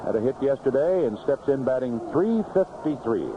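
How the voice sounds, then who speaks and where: An American man calling a baseball game play by play on 1970s radio, in clipped, unhurried phrases from an outdoor ballpark booth. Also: mid-range voice, animated. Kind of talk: live radio sports commentary, 1970s. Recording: noisy vintage AM radio broadcast, muffled.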